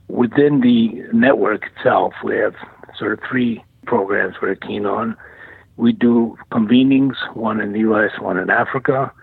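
A man in his sixties, originally from the former Yugoslavia, answering radio interview questions in a measured way with frequent fillers and restarts. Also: fairly low pitch, gravelly, slightly rough, dry recording. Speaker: guest, nonprofit chief executive. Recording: tinny dry phone line.

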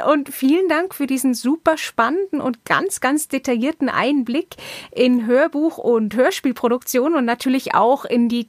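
A woman's voice: sits high, dry recording, clean studio sound. A woman hosting an interview podcast in a conversational way.

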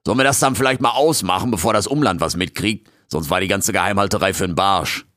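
A man's voice: deep voice, fast, a bit bright, dry recording, clean studio sound. A man voicing a cheeky male raccoon intern who grumbles in street slang. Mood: cocky.

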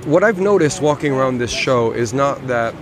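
A man's voice: mid-range voice, animated, moderate pace, crowd noise. A man casually talking about cars on a motor show floor, rambling a little with restarts. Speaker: car show reviewer. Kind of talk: casual walkaround chat. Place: busy motor show hall.

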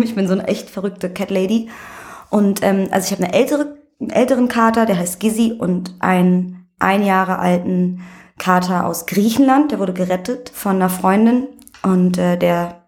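Candid woman chatting in a medium-pitched voice. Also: darkish tone. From Berlin, Germany. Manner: colloquial, candid. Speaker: interview guest, music promoter. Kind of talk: casual chat, personal storytelling.

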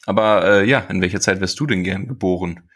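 A man's voice: low-pitched, a little fast, fairly bright tone. A man co-hosting a podcast in casual, joking banter, often repeating words as he finds his phrasing. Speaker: podcast co-host.

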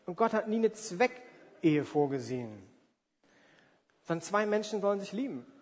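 Guy in his 40s preaching, pretty high voice, chatty but emphatic, big echoey hall. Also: very animated, moderate pace. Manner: conversational, emphatic, asks rhetorical questions. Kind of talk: sermon with everyday examples and acted-out asides. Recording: reverberant hall.

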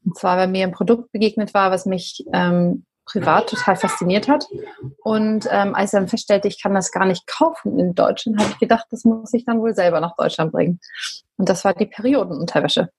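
A woman's voice: mid-range voice, brisk, a little mellow, husky. A woman in her thirties from Berlin, a podcast guest who tells her story in a chatty way with many fillers. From Berlin, Germany. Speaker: podcast guest, company founder. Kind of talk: casual interview talk.

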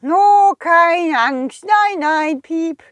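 A woman voicing a small, excitable, silly male bird with chirping interjections in a children's audio story. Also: extremely high pitch, very slow, dry recording, voice pushed up, exaggerated voice. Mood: thrilled. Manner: chirping interjections, giddy exclamations.

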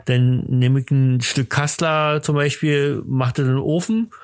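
A man's voice: mid-range voice, clear, resonant tone, no echo, clean studio sound. A man, perhaps middle-aged, hosting a casual podcast chat in a hesitant, rambling, tongue-in-cheek way. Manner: hesitant, rambling, halting delivery. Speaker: podcast host.

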